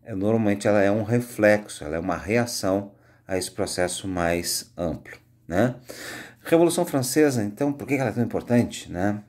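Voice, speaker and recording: A Brazilian man speaking as a high school history teacher, giving an informal, conversational lecture with frequent tag questions. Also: lively intonation, normal speed, darkish tone. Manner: conversational, explanatory, frequent "né" tags.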